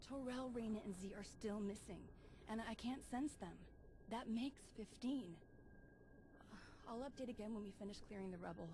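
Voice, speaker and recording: A woman's voice: a little high, moderate pace, dark tone, close to an everyday voice. A woman voicing an eager, idealistic young Jedi in a video game, earnest and wide-eyed in manner. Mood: worried. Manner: enthusiastic, earnest. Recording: noisy game audio capture.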